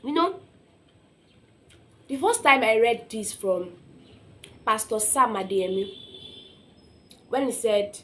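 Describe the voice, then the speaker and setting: Young woman, Nigerian accent, fairly high pitch, emphatic motivational talk, animated storytelling, checks in with listeners, noisy background. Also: slow, long pauses. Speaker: motivational speaker. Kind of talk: informal motivational talk with personal stories.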